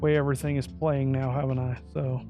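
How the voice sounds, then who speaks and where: A man's voice: flat delivery, fairly quick, lo-fi sound, music in the background. A man giving a step-by-step tutorial on a USB microphone, talking his way through each setting and correcting himself as he goes. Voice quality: describes his own voice as high-pitched. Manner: talks through steps aloud, corrects himself mid-sentence. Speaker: streamer explaining audio setup. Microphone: USB desk microphone.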